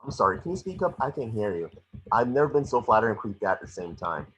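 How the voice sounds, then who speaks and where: A man playing a small, cocky, wisecracking mouse detective in a table read, with a rough-edged husky voice. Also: on the low side, big pitch swings, a bit bright, a little hiss or hum, rough recording. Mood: wry, cocky. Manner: fast-talking wisecracking patter. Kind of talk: animated script table read.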